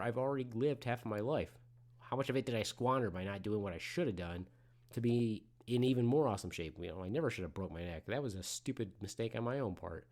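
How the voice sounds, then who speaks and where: A man in his forties who hosts a podcast and works in medicine, talking frankly and with a lot of swearing, swinging between loud outbursts and quiet musing. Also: mid-range voice. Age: 40s. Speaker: podcast host in the medical field. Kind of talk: casual solo podcast monologue.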